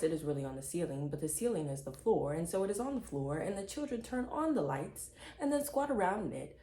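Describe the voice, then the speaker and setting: A woman reads a children's story aloud with plenty of expression. Her voice sits a little low and has a soft, dark tone. The room around her adds a clear echo. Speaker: read-aloud narrator.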